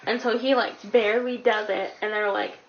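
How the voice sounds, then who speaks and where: A woman's voice: mid-range voice, steady tone, fairly quick. A woman co-hosting a movie review, retelling the story in casual, slangy, sweary chat. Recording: dull lo-fi sound, clear background noise.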